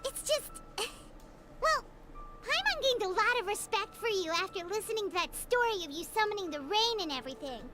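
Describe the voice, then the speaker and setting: An actress voicing a tiny, excitable, childlike fairy companion in a video game, perky and cheeky. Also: female voice, very high-pitched, moderate pace, exaggerated voice. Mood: puzzled. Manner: perky, speaks of herself in third person. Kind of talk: scripted video game dialogue. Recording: music playing behind.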